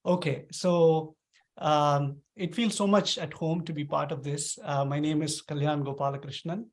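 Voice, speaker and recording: A man with a fairly high voice introduces himself casually as a musician. He is talking on a video call through a laptop's own microphone. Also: fairly even intonation, normal speed. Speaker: musician, violinist.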